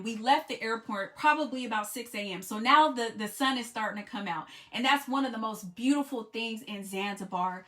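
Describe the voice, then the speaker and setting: American woman, bright tone, chatty vlog storytelling, lots of fillers, mid pitch. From United States. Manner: conversational, many "you know" fillers, grows excited. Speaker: travel vlogger and group trip leader. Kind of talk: casual storytelling to camera.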